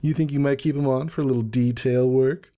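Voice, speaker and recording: A man's voice: mid-range voice, fairly even intonation, a little fast, mellow, voice pushed up, close to an everyday voice. A man voicing a teasing, suggestive female pony gossiping in a dramatic story reading.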